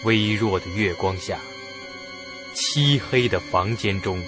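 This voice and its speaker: Lower-voiced man narrating suspense. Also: slow, over music. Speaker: narrator of a suspense radio drama. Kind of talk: scripted first-person suspense narration.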